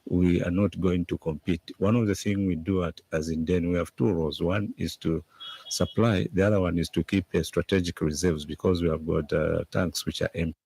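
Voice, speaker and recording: A Zambian man talks off the cuff in a deep, creaky voice. The tone is husky and dark, like a commentator explaining his point in a news clip. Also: steady tone, brisk, dry recording. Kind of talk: unscripted spoken explanation.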